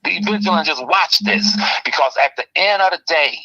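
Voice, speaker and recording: A man hosting a heated call-in talk show, ranting with passion and swinging between loud outbursts and low, pressing remarks. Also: expressive, moderate pace, muffled recording. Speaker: commentary show host.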